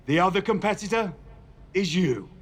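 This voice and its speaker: A stern older wrestling boss for a video game cutscene. His voice sits a little high for a man, and he talks deliberately and formally, like someone giving firm guidance to a junior. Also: lively intonation. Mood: encouraging. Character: stern, measured older male authority figure, wrestling show boss.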